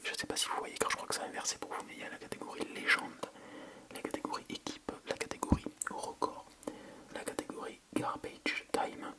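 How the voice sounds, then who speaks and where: An ASMR creator with a French accent from France whispers softly and breathily in an informal, hesitant way, thinking aloud while chatting unscripted close to the microphone. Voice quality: soft breathy unvoiced whisper. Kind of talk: ASMR whispering, casual unscripted quiz chat.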